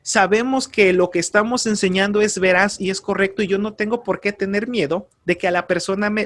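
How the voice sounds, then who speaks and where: A man teaching a lesson on radio announcing, speaking earnestly and calmly, giving advice straight to his listeners and repeating key terms. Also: high voice. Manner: earnest, advisory, addresses listeners directly. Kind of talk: spoken course lesson.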